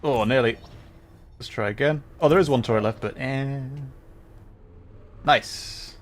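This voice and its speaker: Male game streamer, mid-pitched, casual and halting, thinking aloud over faint background noise. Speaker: livestreamer playing a game. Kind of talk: casual livestream chat and gameplay commentary.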